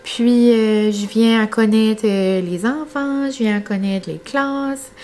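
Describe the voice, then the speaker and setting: Higher-pitched young Acadian woman vlogger. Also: takes it slowly, mellow, slight background noise. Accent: Acadian French from northern New Brunswick. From New Brunswick, Canada. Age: young adult. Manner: rambling, colloquial regional speech with filler words. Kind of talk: casual chat to viewers.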